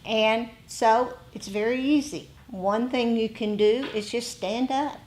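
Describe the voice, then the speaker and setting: An American woman giving an informal dharma talk to a group as a meditation teacher, conversational, with frequent pauses between short phrases. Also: fairly high pitch.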